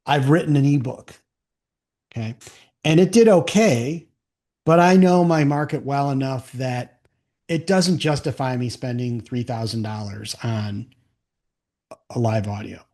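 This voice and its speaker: A man's voice: medium pitch, measured pace, long pauses, mellow. A man hosting a conversational interview, talking in a plain-spoken, explanatory way. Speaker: podcast host.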